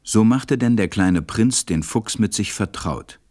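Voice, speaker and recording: A man narrating a scripted story for a radio play in a measured, calm storytelling manner. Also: lowish voice.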